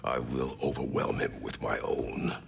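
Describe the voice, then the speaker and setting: A stoic middle-aged war god in a fantasy game. His voice is an extremely low rumble, thick with gravel. He speaks in terse, formal, commanding phrases, grim and controlled. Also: flat delivery, measured pace, heavily acted. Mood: grim, resolute. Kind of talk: dramatic video game acting.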